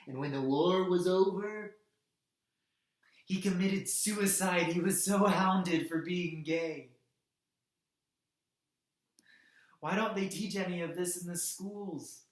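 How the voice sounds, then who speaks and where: A man's voice: sits high, steady tone, natural voice. Man acting an impassioned activist, echoey room. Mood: indignant. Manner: emphatic, halting delivery in short weighted phrases. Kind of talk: theatrical dramatic monologue.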